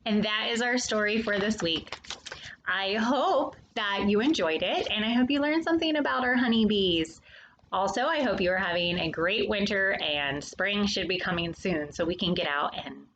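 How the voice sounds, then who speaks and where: A woman reading a children's nonfiction story aloud, addressing young listeners directly with questions and pauses. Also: medium pitch, expressive, fairly bright tone, highs cut off, slight background noise.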